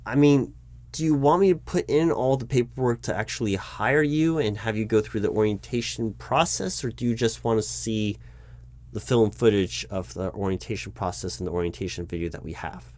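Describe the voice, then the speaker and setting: A man with an American accent and a fairly low voice plays a corporate recruiting manager in a one-sided roleplay. He speaks aloud in a conversational, improvised way. His delivery is hesitant and officious, often stopping and restarting as he explains procedure. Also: normal speed, a little mellow. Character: flustered bureaucratic male corporate recruiting manager addressing his disguised boss.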